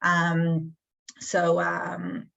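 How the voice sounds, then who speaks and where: A woman with an Israeli accent, answering interview questions in a thoughtful way with many pauses. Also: lowish voice, completely flat delivery, vocal fry, a bit bright, muffled recording. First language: Hebrew. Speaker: guest, community emissary.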